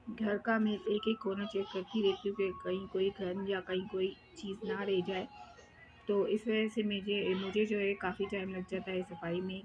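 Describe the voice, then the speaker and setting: A woman's voice: mid-range voice, low-quality recording. A young woman chatting casually as she vlogs her housework at home, rambling with many fillers. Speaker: vlogger. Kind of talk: casual home vlog narration.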